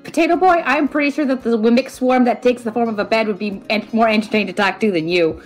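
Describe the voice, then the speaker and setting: A woman's voice: fairly high pitch, fast talker, faint music behind, close to an everyday voice. A woman voicing a snarky, teasing magical talking watch in a tabletop roleplaying game. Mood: teasing, dry.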